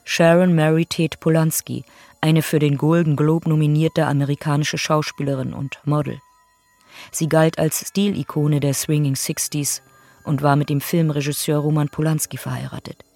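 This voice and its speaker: A woman's voice: low voice, little pitch movement, normal speed, darkish tone, dry recording. A woman narrating victims' profiles in a radio play, in a clipped, factual, sober manner.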